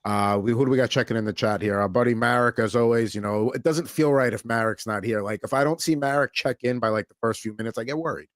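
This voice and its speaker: Easygoing American sports podcast host. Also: male voice, mid-range voice, fast, few pauses, no echo, studio quality. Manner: rambling, filler-heavy, easygoing. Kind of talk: casual sports chat.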